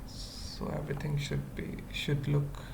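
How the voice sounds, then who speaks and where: Coding screencast presenter, very gravelly fry, halting murmured delivery, thinking aloud, noticeable background noise. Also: mid-range voice, completely flat delivery. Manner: halting, murmured fragments while working. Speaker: web development tutorial presenter.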